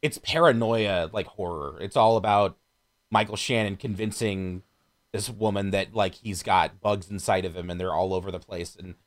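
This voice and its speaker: A man chatting casually on a podcast, blunt and profane, speaking with lively emphasis. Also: low voice, moderate pace. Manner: animated, emphatic.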